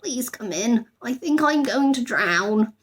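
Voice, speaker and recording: Woman as little male bear, storybook character, earnest, polite, easily flustered, animated pitch. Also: slow pace, husky, exaggerated voice. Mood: frightened. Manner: polite, earnest, formal phrasing.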